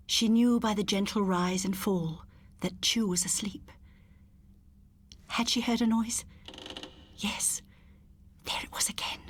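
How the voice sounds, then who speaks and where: A woman's voice: medium pitch, breathy edge. A woman reading a scripted ghost story as an audiobook narrator, in a dramatic, suspenseful storytelling manner.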